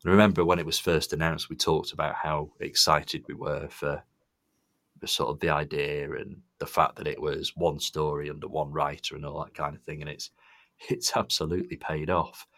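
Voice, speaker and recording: Very low-voiced man hosting a podcast. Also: flat delivery, normal speed, fairly bright tone, no room sound, studio quality. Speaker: podcast host. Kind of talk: casual review chat.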